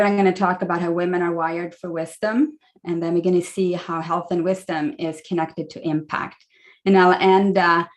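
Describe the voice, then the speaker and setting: A woman in her forties with a Swedish accent, chatting through a slide talk as a guest speaker on a video call. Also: on the low side. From Sweden. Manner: friendly, chatty, many fillers.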